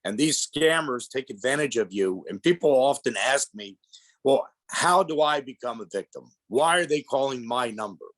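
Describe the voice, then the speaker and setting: A man's voice: mid-range voice, lively intonation, normal speed, fairly bright tone, low-quality recording. Congested man giving a presentation. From Pennsylvania. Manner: plainspoken, explanatory, addresses audience as folks. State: congested from a head cold. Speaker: guest speaker on elder fraud. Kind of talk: public presentation.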